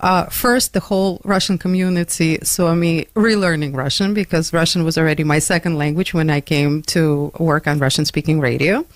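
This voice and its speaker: Middle-aged woman radio host, lowish voice, chatty, close and dry. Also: steady tone, moderate pace, few pauses, dry recording. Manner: chatty, laughs often, many fillers. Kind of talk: radio interview. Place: radio studio. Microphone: studio microphone.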